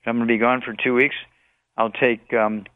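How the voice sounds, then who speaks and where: A man's voice: medium pitch, little pitch movement, brisk, clear, resonant tone, no echo. A man in his eighties answering questions as a guest on a radio call-in show, rambling and repeating himself in lists. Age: 80s. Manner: rambling, list-like repetition. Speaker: guest health supplement promoter. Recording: narrow phone-like line.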